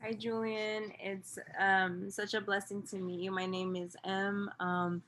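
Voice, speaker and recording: A woman from the Bay Area introducing herself on a video call, earnest and halting with many fillers. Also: mid-range voice, little pitch movement, a bit bright. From California Bay Area. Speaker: book club member.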